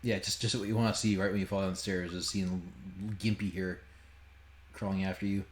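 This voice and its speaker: A man's voice: low-pitched, level tone, fairly quick. A man giving casual, joking game commentary as a streamer, crude, profane and sarcastic in how he talks. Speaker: streamer playing a horror visual novel.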